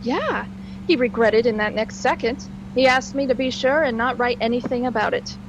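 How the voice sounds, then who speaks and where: A woman's voice: on the high side, normal speed, muffled recording, noisy background, close to an everyday voice. An actress playing an eager, persistent female sleuth in a radio drama, earnest and probing. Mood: eager, excited. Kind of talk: old-time radio drama acting.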